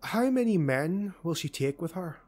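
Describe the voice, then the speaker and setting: A man voicing a sharp-witted, skeptical female elf warrior in an audiobook. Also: a little high, expressive, moderate pace, pitched above the performer's own voice. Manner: pointed, skeptical.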